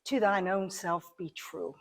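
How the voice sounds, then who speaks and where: A woman giving conversational interview answers as a guest, thoughtful and halting as she pieces her phrases together. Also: medium pitch, steady tone, creaky. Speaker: interview guest, amateur stage actor.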